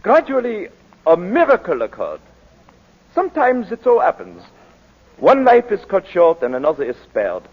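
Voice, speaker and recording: A solemn middle-aged doctor in a 1940s radio drama. The man's voice is fairly high and husky, with dramatic rises and pauses. The recording is dull and aged. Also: wildly swooping pitch, heavily acted. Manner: deliberate, formal, theatrical phrasing with dramatic pauses. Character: grave, florid Belgian country doctor, a middle-aged man.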